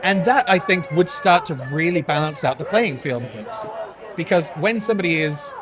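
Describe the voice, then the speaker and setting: Higher-voiced man arguing earnestly, outdoors. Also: moderate pace. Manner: earnest, explanatory. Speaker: protest interviewee. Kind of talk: casual political argument. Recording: outdoor street with crowd noise.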